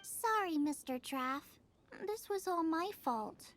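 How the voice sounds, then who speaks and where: A woman's voice: very high-pitched, lively intonation, slow, a little hiss or hum, heavily acted. A woman playing a spirited, stubborn young chef in a video game, with a girlish, youthful voice. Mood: apologetic. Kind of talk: animated video game voice acting.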